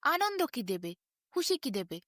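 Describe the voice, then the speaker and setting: A woman narrating a bedtime folk tale as an expressive storyteller. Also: sits high, normal speed, dry recording, studio quality.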